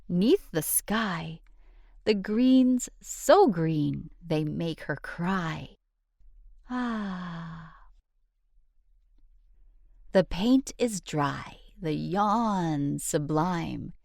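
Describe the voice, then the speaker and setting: A woman reading a rhyming children's book aloud in an expressive, sing-song storyteller's delivery. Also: on the low side, drawn-out words, creaky, long pauses, no echo, clean studio sound.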